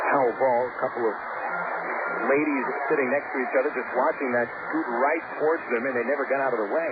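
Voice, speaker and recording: A man calling a baseball game on the radio in an easygoing, chatty way, mixing pitch calls with player statistics. The sound is thin and phone-like, with clear hiss underneath. His pitch sits in an ordinary middle range. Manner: relaxed conversational calling with stats. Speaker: play-by-play radio announcer. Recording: tinny narrowband radio with hiss.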